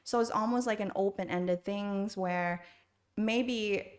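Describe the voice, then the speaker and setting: A woman's voice: medium pitch, slow pace. A middle-aged woman with an American accent, answering interview questions conversationally as a podcast guest. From Thailand, then the United States from age six. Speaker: podcast guest, school university counselor.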